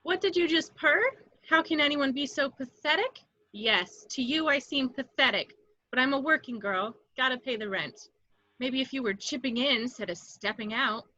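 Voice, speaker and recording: A woman's voice: high voice, expressive, normal speed, sharp tone, full character voice. A woman playing a lonely, self-mocking young secretary with sardonic delivery in an amateur read. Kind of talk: amateur table read acting. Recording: echoey, muffled home microphone.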